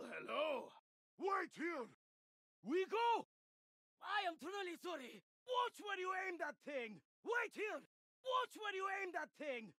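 High, shouting medieval Eastern warrior. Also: male voice, dry recording, studio quality, full character voice. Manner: shouted, declamatory, formal. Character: medieval Eastern warrior, fierce and courtly by turns. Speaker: video game character voice. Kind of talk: video game battle voice lines.

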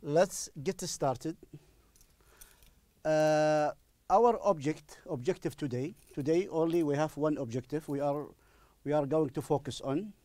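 A middle-aged man with an Arabic accent, a native Arabic speaker, teaching an English lesson deliberately and repeating phrases for emphasis. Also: a little high, dark tone, dry recording. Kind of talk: recorded classroom-style lesson. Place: indoor studio in front of a presentation screen. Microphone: lapel microphone.

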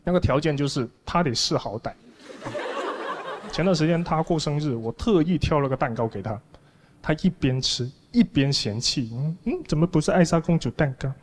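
A man's voice: a little high, expressive, normal speed. A man in his thirties performing a stand-up comedy monologue on a studio stage, telling stories conversationally with mock indignation into a handheld microphone. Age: 30s. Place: large TV studio stage with audience. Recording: clear audience noise behind the voice. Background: audience noise.

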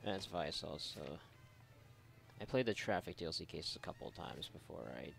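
Deep, creaky-voiced man joking over gameplay. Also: a little fast, a bit bright. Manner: joking, rambling, sweary. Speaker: gaming video host. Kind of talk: casual let's play commentary.

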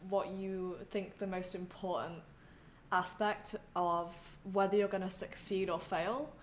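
A woman's voice: mid-range voice, fairly even intonation, a little slow, a little hiss or hum. A young woman hosting an interview over a video call, asking long, rambling questions. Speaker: host. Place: room at home. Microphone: headphones on video call.

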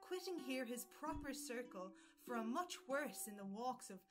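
High-pitched courtly gallant played by a woman, theatrical. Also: animated, normal speed, over music, close to an everyday voice. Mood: persuasive, scornful. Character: bold, eloquent young gallant wooing a married lady. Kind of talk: early modern stage verse in an audio drama.

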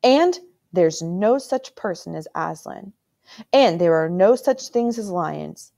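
A woman's voice: mid-range voice, big pitch swings, takes it slowly, mellow. A woman putting on a stern, thundering king. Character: stern cold male king, menacing uncle.

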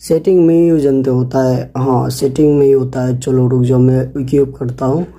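A man's voice: medium pitch, level tone, normal speed, dark tone. A man with an Indian accent giving casual, chatty gameplay commentary as a video host, often addressing and repeating himself to viewers. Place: voice-over on a screen recording.

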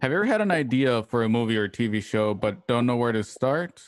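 A man's voice: mid-range voice, animated, fairly quick, a little hiss or hum. A man doing a scripted sponsor read as an announcer, conversational and salesy. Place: home room, video call. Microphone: headset and close mic.

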